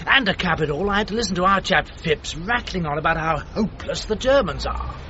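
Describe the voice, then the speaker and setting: Pompous upper-class English knight for radio drama: high, forceful, blustering, condescending. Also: male voice, lively intonation, a little fast. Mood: breezily condescending. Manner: plummy, condescending, jovially blustering. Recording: muffled lo-fi radio recording, faint noise.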